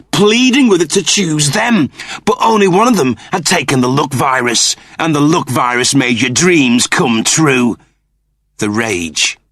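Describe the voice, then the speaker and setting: Deep-voiced man narrating with dramatic intensity. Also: fairly bright tone, breathy edge, no echo, clean studio sound. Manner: theatrical, intense storytelling. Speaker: audiobook narrator. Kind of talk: dramatic scripted narration of a science fiction novel.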